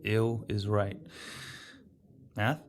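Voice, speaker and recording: A man playing a gruffly kind, patient police detective in an audio drama, speaking in short, gentle phrases. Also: on the low side, little pitch movement, drawn-out words. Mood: casual, friendly.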